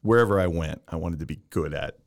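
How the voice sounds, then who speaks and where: A man's voice: sits low, fast, no room sound, clean studio sound. A middle-aged man from Texas with a Texan accent, a chatty and enthusiastic podcast guest telling stories with frequent laughs. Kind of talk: casual chat.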